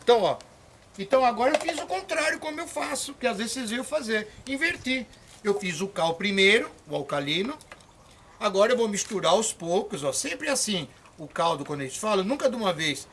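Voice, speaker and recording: Folksy high-voiced older farmer. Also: male voice, very animated, fairly quick, fairly bright tone, a little hiss or hum. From Brazil. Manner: folksy, encouraging, addresses viewers directly. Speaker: orchard farmer teaching. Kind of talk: hands-on demonstration.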